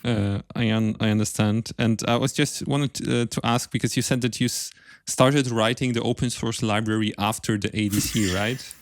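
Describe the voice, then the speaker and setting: A man hosting a podcast interview, putting casual questions together in a halting, careful way. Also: fairly low pitch, fairly even intonation, no echo, studio quality.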